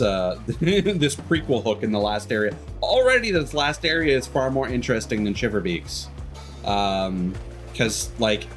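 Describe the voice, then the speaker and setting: A man livestreaming a video game with casual commentary, rambling and analytical as he gives his opinions. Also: mid-range voice. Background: video game music.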